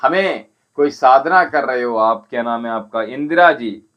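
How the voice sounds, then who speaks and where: A man hosts a live spiritual session and answers viewers one by one, addressing each by name. He talks in a measured, instructive way at an ordinary middle pitch. The stream audio sounds dull and lo-fi. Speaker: spiritual teacher and Ayurvedic healer. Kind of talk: livestream answering viewer comments. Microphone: livestream setup.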